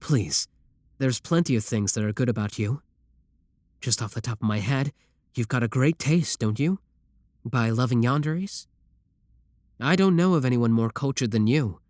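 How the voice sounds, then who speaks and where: A man's voice: medium pitch, lively intonation, normal speed, long pauses, darkish tone, dry recording, lightly acted. A man playing an adoring, obsessive yandere sibling in an ASMR roleplay, reassuring and matter-of-fact about his menacing devotion. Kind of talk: scripted ASMR roleplay addressed to the listener.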